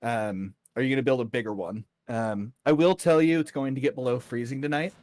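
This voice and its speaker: Male game master, conversational and a bit halting, mid-pitched voice, dry sound. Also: a little mellow, no echo. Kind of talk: casual tabletop game session narration.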